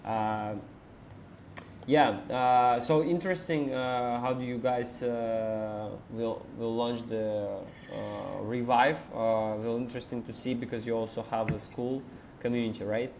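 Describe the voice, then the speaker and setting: Non guy on a podcast, lots of uh and restarts, slight rumble behind him. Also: fairly even intonation, a little mellow. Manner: halting, many ums and restarts, non-native phrasing. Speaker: podcast co-host. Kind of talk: casual chat.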